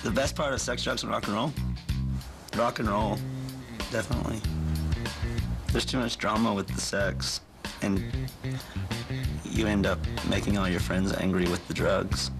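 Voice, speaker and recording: A man's voice: on the low side, steady tone, normal speed, gravelly, long pauses. A man in his twenties, a guitarist and singer, telling amused stories in a confessional interview. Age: 20s. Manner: amused storyteller, laughs at his own tales. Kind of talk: reality show confessional interview. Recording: music bed under the voice.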